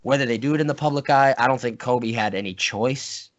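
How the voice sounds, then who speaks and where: A man's voice: mid-range voice, a little fast, muffled recording. A man hosting a casual podcast chat, speaking earnestly in long, rambling sentences. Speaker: podcast host.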